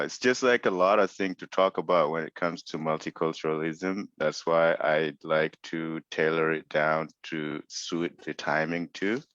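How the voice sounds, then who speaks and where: A man's voice: rumbling low, a bit bright, no echo. A man from West Africa speaking earnestly as a panelist on a video call, searching for his words. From West Africa, Mauritania. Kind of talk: online panel discussion. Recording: muffled video call audio.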